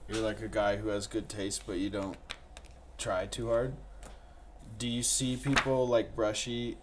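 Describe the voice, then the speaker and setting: A man's voice: lowish voice, little pitch movement, measured pace. A man co-hosting a podcast, chatting casually in an informal, slangy way. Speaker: podcast co-host. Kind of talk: casual chat. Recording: faint background room noise.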